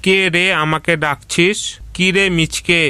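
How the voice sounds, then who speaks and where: Cartoon tiger father, played by a man: haughty bully, hard bright tone, scornful delivery. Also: on the high side, steady tone, measured pace, smooth, no room sound. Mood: smug, menacing. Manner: contemptuous, scolding. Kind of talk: cartoon voice acting.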